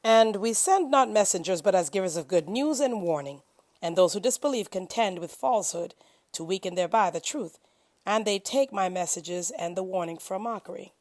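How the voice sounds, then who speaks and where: A woman giving an expressive, solemn and dramatic reading of translated scripture, with emphatic phrasing. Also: mid-range voice, normal speed. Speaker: scripture reader.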